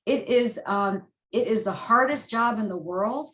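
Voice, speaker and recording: A woman's voice: a little high, a little slow, fairly bright tone. A woman professor speaking off the cuff in a panel discussion, earnest and often breaking off to rephrase herself. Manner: earnest, rambling, self-interrupting. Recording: roomy, echoey video-call sound.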